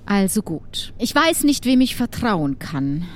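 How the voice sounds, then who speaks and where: A woman voicing a troubled, secretive witch who trades in dark goods, speaking in an earnest, halting, pleading way in an improvised audio adventure. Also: medium pitch, big pitch swings, pitched below the performer's own voice. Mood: troubled, suspicious.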